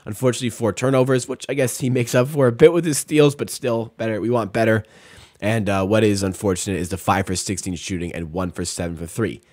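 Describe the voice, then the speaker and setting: Young man, sports stream host, rattling off stats, close boom mic, dry small room. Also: fast talker, a little mellow, dry recording. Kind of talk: casual post-game breakdown. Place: small room at home, indoors. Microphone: studio mic on boom arm, close.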